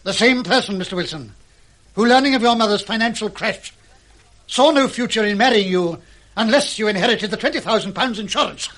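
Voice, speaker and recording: A man's voice: high-pitched, brisk, a bit bright, low-quality recording. A man playing a pompous, self-assured doctor detective in an old-fashioned radio mystery, speaking in precise, formal, pedantic phrases. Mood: accusing.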